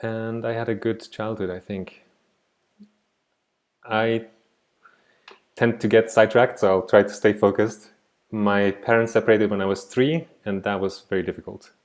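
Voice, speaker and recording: Low-voiced reflective man teaching art online. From Switzerland. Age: 30s. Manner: reflective, digressive, soft-spoken. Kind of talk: online class presentation. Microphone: laptop mic on a video call.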